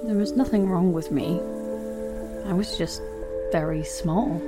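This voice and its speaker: Loud new-age music surrounds a woman acting as a small, overlooked young woman in an audio drama. She reflects wistfully in a slightly low voice, very slowly, with hesitant pauses and an understated manner. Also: natural voice. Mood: wistful, reflective.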